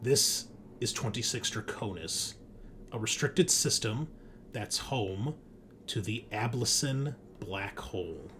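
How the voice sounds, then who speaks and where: Male tabletop RPG host, lower register, sci-fi horror game master, slight room noise behind him. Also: expressive, takes it slowly, a bit bright. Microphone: streaming setup at a computer. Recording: background noise, room ambience.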